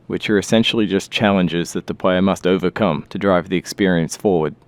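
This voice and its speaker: A man's voice: sits low, a little mellow, smooth, no echo. A man giving scripted commentary as a game developer.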